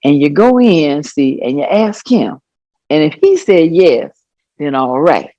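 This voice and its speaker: A woman playing an elderly grandmother in a staged reading, telling her memories in a folksy, expressive way. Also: low voice, no room sound, highs cut off. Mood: fond, musing. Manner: folksy, expressive, unhurried storytelling with exclamations. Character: elderly Southern grandmother reminiscing fondly and animatedly. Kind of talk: staged reading of oral history.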